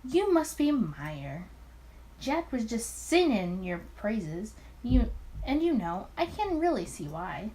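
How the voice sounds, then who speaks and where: A woman's voice: on the high side, big pitch swings, slow, mellow, poor audio. A woman voicing a cheerful, affectionate male detective partner in a visual novel game, with a playful, teasing manner.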